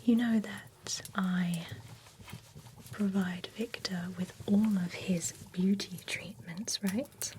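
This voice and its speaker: A chatty, nosy beautician at a spa for monsters, as a woman whispers her into a close ASMR mic, slow and unhurried, with a steady middle pitch. Every word comes as a soft, breathy, unvoiced whisper, gossipy and a little jealous underneath. A faint hiss sits behind her. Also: close to an everyday voice. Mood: envious. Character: gossipy, envious female beautician at an undead spa. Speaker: ASMR roleplay creator. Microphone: close ASMR microphone.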